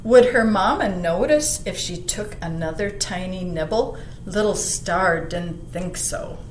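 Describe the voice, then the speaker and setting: A woman reading a picture book aloud to children, expressive, putting questions to her young listeners and dropping into hushed asides. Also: lowish voice, unhurried. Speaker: children's library storyteller. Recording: roomy echo, some background noise.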